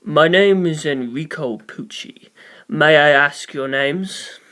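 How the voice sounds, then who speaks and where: A man voicing a calm, measured male astronaut and former priest in an audiobook-style story, speaking slowly and formally. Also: on the high side, voice pushed down. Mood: calm, probing. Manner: slow, formal, deliberate questioning.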